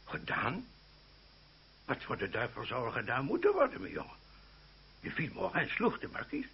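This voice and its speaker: A man's voice: mid-range voice, wildly swooping pitch, moderate pace. A man acting in an old radio play as a blustering older uncle, easily indignant, stammering when flustered. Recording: muffled, slightly noisy old radio recording.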